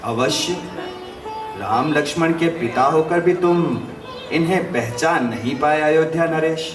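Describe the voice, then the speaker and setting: Man playing a stern great sage, commanding oratory, reproachful, slightly high voice, echoing room, mythological drama. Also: a little slow, lightly acted. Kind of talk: theatrical Hindi mythological audio drama. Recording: roomy distant playback with music behind.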